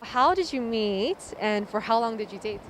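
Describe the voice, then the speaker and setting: A woman's voice: on the high side, expressive, normal speed. A woman working as a street interviewer, putting questions to people. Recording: clear street traffic noise.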